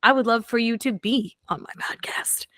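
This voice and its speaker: A woman hosting a history podcast, bubbly and enthusiastic, quick to laugh. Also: a little high, fairly bright tone, no echo, studio quality. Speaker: podcast host. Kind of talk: casual podcast chat.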